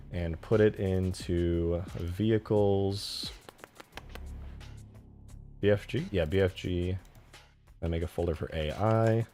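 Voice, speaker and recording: A man's voice: deep voice, darkish tone. A man live streaming as he works on a game mod, chatting casually with viewers and muttering his steps aloud in fragments. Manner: thinks aloud in short fragments, muttering to himself while working. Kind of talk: casual live stream chat while working.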